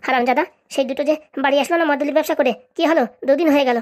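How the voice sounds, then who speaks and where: A woman's voice: sits high, fairly even intonation, racing, lightly acted. A woman playing a bossy, grumbling crook who runs a begging racket in a comic cartoon, scolding and sarcastic. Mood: angry, demanding.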